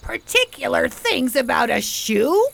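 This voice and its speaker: A woman playing a protective, no-nonsense guardian in a radio play, brisk and scolding. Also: high-pitched, big pitch swings, gravelly, a bit bright, exaggerated voice. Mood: exasperated. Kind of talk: radio theater acting.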